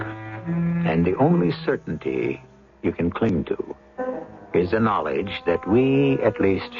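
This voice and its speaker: An American man hosting a radio drama with portentous, theatrical scripted narration and deliberate, rhetorical pauses. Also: on the low side, creaky. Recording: dull old radio broadcast, faint music behind.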